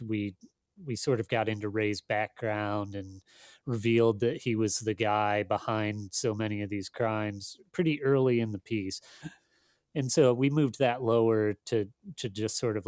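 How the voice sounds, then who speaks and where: A man speaking casually as an editor being interviewed, in a thoughtful, halting, self-deprecating way. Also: lowish voice, a little mellow, no room sound, studio quality. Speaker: magazine editor, interview guest.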